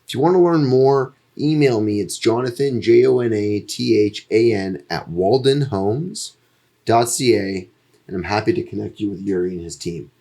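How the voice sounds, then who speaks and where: A man reading a sponsor message for a podcast in a steady, scripted delivery. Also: on the low side, normal speed, creaky. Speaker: podcast ad reader.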